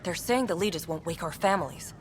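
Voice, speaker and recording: A woman's voice: lowish voice, fairly quick, soft background music, lightly acted. An actress playing a defiant, resolute grandmother protester in a video game. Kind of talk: scripted game dialogue.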